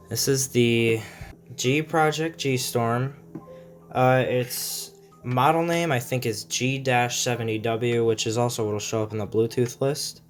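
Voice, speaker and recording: A man giving a casual, unscripted product review in a rambling, matter-of-fact way. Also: mid-range voice. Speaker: video creator reviewing gadgets.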